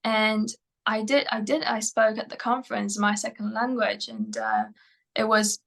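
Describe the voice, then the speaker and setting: A woman whose first language is Polish, a mental performance coach answering interview questions in English, often pausing with fillers and restarting her sentences. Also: medium pitch, animated, vocal fry, fairly bright tone. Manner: hesitant, many fillers and restarts.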